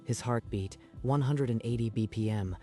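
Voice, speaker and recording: A man's voice: mid-range voice, moderate pace, mellow, soft background music. A man narrating a dramatic story from a script in a storytelling style.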